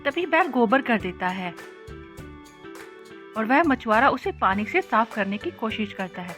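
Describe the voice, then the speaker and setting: A woman's voice: fairly high pitch, very animated, a little slow, a bit bright, soft background music. A woman reading scripted narration for a children's cartoon story.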